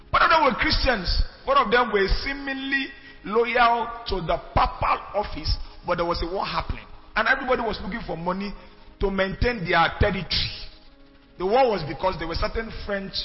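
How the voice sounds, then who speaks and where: Man, high voice, lively call-and-response teacher, echoey hall. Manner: animated, checks in with the class. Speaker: church history teacher. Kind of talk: animated classroom lecture with call-and-response. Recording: echoey hall, dull sound, faint music.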